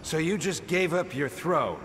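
An actor playing a brash, scheming mercenary fighter in a video game. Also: male voice, fairly high pitch, lively intonation, a little slow, very noisy. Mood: goading.

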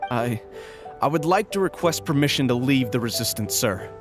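A man's voice: medium pitch, lively intonation, moderate pace, music in the background, natural voice. A man playing a nervous young soldier in a video game, hesitant, formal and deferential. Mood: nervous, earnest. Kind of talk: scripted video game voice acting.

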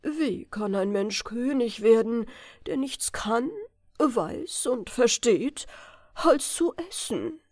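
Troubled fairy-tale king, done by a woman, solemn, regal, unhurried, lively pitch. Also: no echo, clean studio sound. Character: troubled fairy-tale king fretting over his son.

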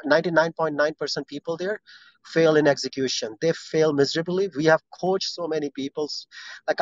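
Man, slightly high voice, earnest coach tone, muffled lo-fi line. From Pakistan. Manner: earnest, encouraging. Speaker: startup coach. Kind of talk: casual advice in audio chat. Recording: muffled lo-fi audio.

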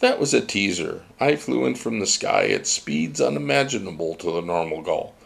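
A man's voice: fairly low pitch, big pitch swings, moderate pace, gravelly, fairly bright tone, exaggerated voice. A man voicing a mysterious spirit teacher that takes a child's form, speaking bluntly and instructively.

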